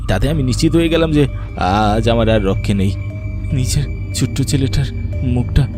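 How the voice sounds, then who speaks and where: A man's voice: mid-range voice, expressive, normal speed, music in the background, lightly acted. A man voicing a guilt-ridden, doomed man who narrates his dread in a horror cartoon. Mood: dread and remorse. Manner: sombre dramatic first-person narration. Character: guilt-ridden man facing a vengeful ghost.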